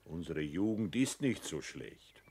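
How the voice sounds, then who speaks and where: Gruff middle-aged family man, very low voice, husky, animated intonation, sententious, radio drama. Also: a little slow, full character voice. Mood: self-satisfied.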